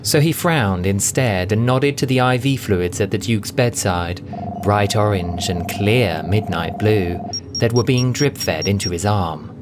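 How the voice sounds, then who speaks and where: A man narrating a scripted audio drama as a theatrical storyteller, with deliberate emphasis. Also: fairly low pitch. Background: music.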